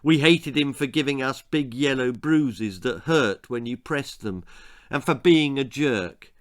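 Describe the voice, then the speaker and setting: A man with a British accent reading his own children's story as scripted narration, dramatic and with pointed pauses. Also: medium pitch.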